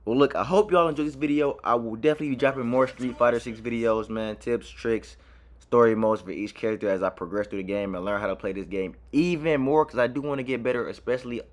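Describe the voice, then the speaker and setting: A man's voice: mid-range voice, steady tone, fast talker. A man giving casual, conversational gameplay commentary full of informal slang. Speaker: gaming video creator. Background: game music.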